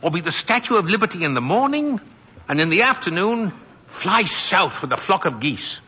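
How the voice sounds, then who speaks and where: A man's voice: high-pitched, sing-song, normal speed, bright, forceful tone, breathy edge, heavily acted. An actor playing a kindly, playful old man who claims to be Santa, with an avuncular, exclamatory manner, in 1940s radio drama style. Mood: playful, encouraging. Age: older. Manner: avuncular, exclamatory, with frequent "eh" and "see". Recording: muffled, noisy 1940s radio recording, reverberant.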